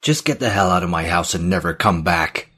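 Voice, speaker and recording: A man's voice: low voice, expressive, fairly quick, sharp tone, breathy edge, exaggerated voice. A man voicing an angry, defiant householder in an audiobook, blunt and demanding. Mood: scornful. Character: angry, defiant male householder confronting intruders.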